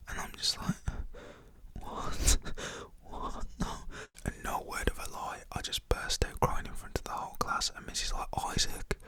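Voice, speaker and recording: A man's voice: a little fast, few pauses. Whispering young man. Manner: rambling, full of "like" fillers; whispers throughout. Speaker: storytelling vlogger. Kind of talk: whispered storytelling.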